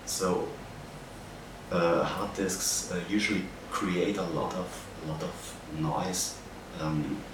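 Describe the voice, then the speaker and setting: A young man from Austria with an Austrian accent, a native German speaker, haltingly explaining a project as an art student. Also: low voice, steady tone. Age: young adult. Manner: hesitant, halting delivery with searching pauses. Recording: very echoey, distant mic, noisy background.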